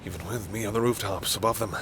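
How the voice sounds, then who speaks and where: A man playing a confident, condescending male vampire in an intimate audio roleplay, coolly menacing and commanding, with breathy asides. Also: lowish voice, quick pace, noisy background, natural voice. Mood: musing, predatory. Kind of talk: intimate audio roleplay, acted monologue to the listener.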